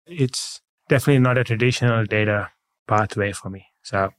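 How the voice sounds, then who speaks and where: A man from Sri Lanka telling his career story in a relaxed, matter-of-fact way as an interview guest. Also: on the low side, brisk, smooth. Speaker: guest, head of data. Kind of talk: casual interview chat.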